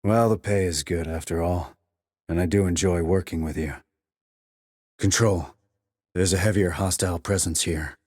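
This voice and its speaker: An actor playing a terse, cool-headed sniper operative in a video game, with clipped, controlled military delivery and a low husky voice. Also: male voice, steady tone, moderate pace, long pauses, darkish tone, no echo, clean studio sound. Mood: focused, controlled. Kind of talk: military radio chatter, game dialogue.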